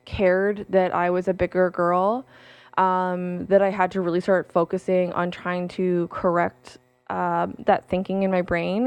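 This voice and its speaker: Candid woman with a smooth, dry voice. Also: on the low side, dry recording, highs cut off. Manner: frequent ums, self-corrections, candid and personal. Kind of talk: casual solo talk with read-aloud passages.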